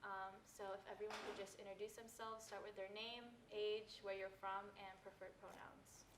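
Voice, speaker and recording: A woman's voice: medium pitch, level tone, normal speed, noisy background. Chatty eighteen-year-old woman moderator. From California. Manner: casual, many "like" fillers. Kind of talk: casual panel discussion. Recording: roomy recording.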